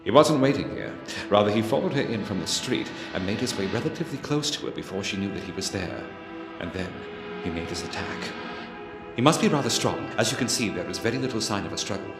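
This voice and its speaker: An actor playing a keen, coolly analytical Victorian detective, speaking in precise, theatrical deductions in film dialogue. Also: male voice, on the low side, lively intonation, fast. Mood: absorbed, analytical. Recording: reverberant hall sound with music underneath.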